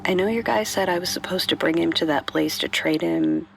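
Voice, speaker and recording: A woman's voice: fairly low pitch, fairly quick, vocal fry, music in the background. A woman playing a bitter, guarded bank robber and mother, speaking conversationally in an audio drama monologue. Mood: regretful, confessional. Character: tough female bank robber, bitter mother, wryly sardonic.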